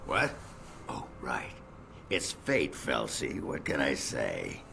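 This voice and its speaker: Very deep, gravelly crude dwarf warrior. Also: male voice, huge pitch swings, takes it slowly, bright, forceful tone, a little hiss or hum, full character voice. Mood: boastful flirting. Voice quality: gravelly, guttural. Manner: coarse, swaggering, bantering. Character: crude, boastful, gruff dwarf warrior, clumsily flirting. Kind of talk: fantasy video game voice acting.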